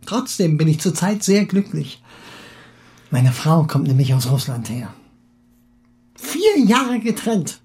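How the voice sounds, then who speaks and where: A man playing a talkative, excitable Russian émigré husband who philosophizes eagerly and halts for words in acted audiobook dialogue. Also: on the high side, heavily acted. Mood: eager, chatty. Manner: garrulous, halting when searching for words.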